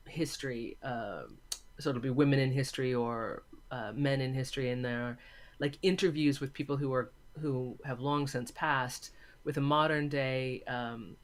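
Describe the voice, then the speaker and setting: A woman from California with an American accent, answering conversationally as an interview guest. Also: extremely low pitch. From California, USA. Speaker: interview guest, film lecturer and voice actor.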